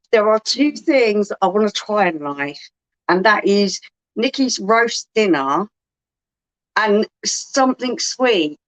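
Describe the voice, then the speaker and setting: A woman chatting casually on a home livestream, quick and laughing often. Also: medium pitch. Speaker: co-host of a beer livestream. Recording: dull lo-fi livestream audio.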